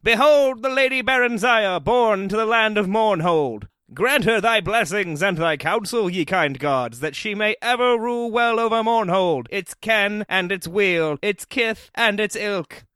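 A solemn high priest from a fantasy storybook, acted by a male narrator. The voice rises high and loud, declaiming a ceremonial blessing with great formality. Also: measured pace, hardly any pauses, clear, resonant tone, dry recording, studio quality. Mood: exalted, solemn. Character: solemn high priest proclaiming a royal naming rite.

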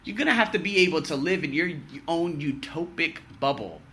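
A man in his thirties talks straight to camera in a lively, swooping voice that leaps high on a punchline and drops low on an aside. His tone is very bright and a touch high for a man. He is blunt and swears freely. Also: a little fast. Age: 30s. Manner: animated, emphatic, swinging from loud boasts to low muttering. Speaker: vlogger. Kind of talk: personal year-in-review monologue.

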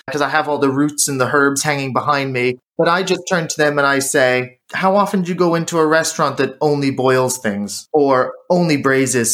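A man with a mixed British and American accent, a guest in an interview, explaining his work in a fluent, lively way. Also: mid-range voice. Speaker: guest, drinks maker. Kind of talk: casual interview talk.

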